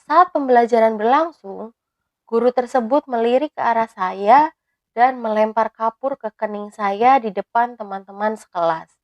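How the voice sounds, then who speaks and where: A woman in her thirties, a teacher, reading a prepared personal reflection in a measured, even way. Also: a little high, no room sound, highs cut off. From Indonesia. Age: 30s.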